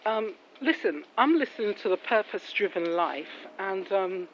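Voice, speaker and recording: A woman's voice: medium pitch, lively intonation, a little slow. A woman in a casual walking vlog with devotional reflections, rambling and full of admiring exclamations. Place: outdoors on a residential street after rain. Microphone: handheld phone while walking. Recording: muffled, noisy outdoor street recording with traffic.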